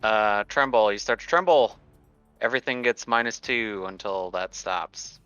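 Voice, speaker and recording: A man's voice: on the low side, normal speed, a bit bright, clear, resonant tone, no room sound, lo-fi sound, soft background music. A man playing a tabletop roleplaying game, chatting casually about his moves and rolls.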